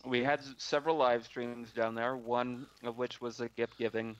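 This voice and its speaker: Man, casual storyteller, halting, repeats words, lo-fi video call sound. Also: mid-range voice, little pitch movement. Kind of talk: casual anecdote telling.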